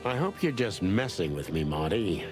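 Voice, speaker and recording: An actor playing a world-weary, terse rooster detective in a film-noir adventure game. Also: male voice, deep voice, big pitch swings, moderate pace, music in the background. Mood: grim, firm. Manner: terse, hard-boiled, dry.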